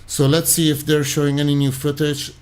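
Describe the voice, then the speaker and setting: A man's voice: on the high side, little pitch movement, a little fast, crowd noise. A man from Iran, hosting a livestream, commenting casually and thinking aloud as he reacts to his chat. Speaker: livestream host.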